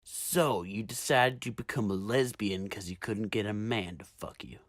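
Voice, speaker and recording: A woman voicing a cruel, smirking gangster man in a gravelly, taunting drawl for a story reading. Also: extremely low pitch, normal speed, no echo, voice pushed down. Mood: mocking menace.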